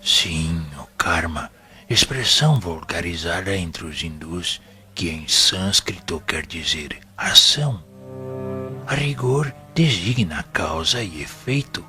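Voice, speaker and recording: A man performs a humble, wise spirit minister in a Brazilian audio drama, with music behind him. His male voice is very deep and unhurried, with a Brazilian accent. He teaches like a gentle, solemn father. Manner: solemn, gentle, measured, paternal. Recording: voice over background music.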